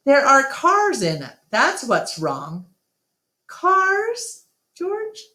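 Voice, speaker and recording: Woman putting on a higher-pitched, money-minded businessman. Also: wildly swooping pitch, extremely slow, pushed and bright, full character voice. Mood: wry, upbeat. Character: brisk, money-minded male business owner. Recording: roomy, echoing room sound.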